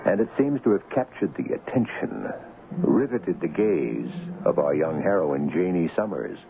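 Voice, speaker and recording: Very deep, creaky male radio host. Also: sing-song, moderate pace. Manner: wry, rhetorical, dramatic. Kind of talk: scripted, theatrical radio narration. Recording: lo-fi old radio broadcast, loud music behind. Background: music.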